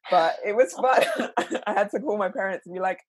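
A woman's voice: mid-range voice, a little fast, husky. A woman guest on a podcast, telling a self-deprecating story with giggles. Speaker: guest, creative director. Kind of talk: casual podcast chat.

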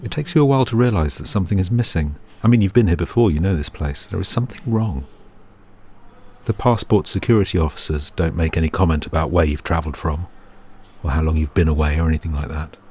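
A British man reads a second-person audio drama as narrator, in a measured, understated and uneasy storytelling manner. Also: low voice, dark tone, breathy edge. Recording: music bed behind the voice. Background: music.

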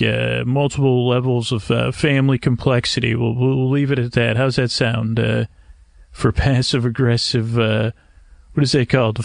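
A man hosting a bedtime podcast, rambling in a halting, meandering way, often interrupting himself and getting mixed up, with a creaky, gravelly voice. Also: mid-range voice, no echo, clean studio sound. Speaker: podcast host. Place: homemade screened outdoor porch at night.

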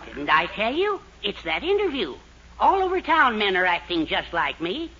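An American actor playing a brash, bossy husband in a 1940s radio sitcom, talking in gruff, slangy bluster. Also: male voice, high-pitched, huge pitch swings, normal speed, pushed and bright, exaggerated voice. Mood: triumphant. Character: brash, bossy henpecked husband newly lording it over women. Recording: muffled, hissy 1940s radio recording.

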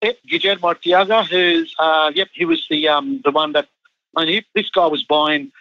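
A middle-aged man from Chile talks through his rugby coaching life in loose, rambling sentences, stopping often for ums and restarts. His voice sits a little high for a man. The sound is muffled and lo-fi, with a very dry, close feel. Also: lively intonation, normal speed, creaky, dry recording. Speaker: national team head coach. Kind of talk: casual interview chat.

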